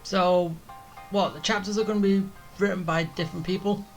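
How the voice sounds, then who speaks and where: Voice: medium pitch, fairly even intonation, moderate pace. Casual game streamer over faint music. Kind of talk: casual gameplay commentary.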